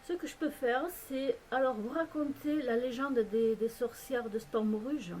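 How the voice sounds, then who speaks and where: A woman from France with a French accent talks to her camera in a loose, meandering vlog. She often pauses on fillers and starts her sentences over. Her voice sits a little high, with a faint hiss underneath. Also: a little mellow, rough recording. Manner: hesitant, rambling, many fillers and restarts. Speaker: vlogger. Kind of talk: casual chat to camera. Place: home in a Belgian village.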